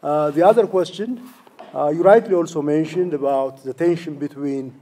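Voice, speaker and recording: A man from the floor poses a long question. He hesitates often and restarts. His voice sits a little high, with a warm, mellow tone. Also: normal speed. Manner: hesitant, many fillers and restarts. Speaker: audience questioner.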